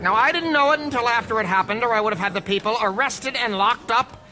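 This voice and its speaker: An actor playing a stern, authoritative male judge who delivers formal rulings in a measured way. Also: very high voice, pushed and bright, a little hiss or hum, heavily acted. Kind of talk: live stage radio drama.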